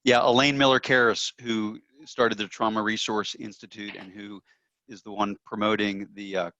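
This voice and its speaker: Man, dull call audio, lowish flat voice, bright forceful tone, co-host, conversational. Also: normal speed, dry recording. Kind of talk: conversational remarks on a group call. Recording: dull, band-limited online call audio.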